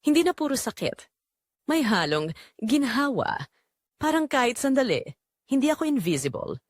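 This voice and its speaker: Expressive woman narrating, close dry sound. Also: high voice, normal speed, no echo. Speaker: audio drama narrator. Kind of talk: scripted first-person romance narration.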